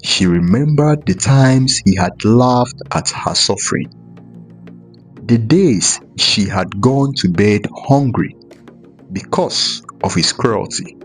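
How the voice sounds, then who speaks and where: A man narrating a scripted folktale in a measured, deliberate storytelling style with dramatic pauses. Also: lowish voice, animated. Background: background music.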